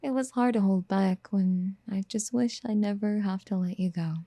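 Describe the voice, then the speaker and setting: A woman playing a doting, obsessive would-be mother in a soft-spoken ASMR roleplay, breathy and often near a whisper, tenderly coaxing. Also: mid-range voice, normal speed, no echo, natural voice. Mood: gentle, hesitant. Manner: tender, coaxing, hesitant pet-name murmuring. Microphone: close microphone in a dry, quiet space.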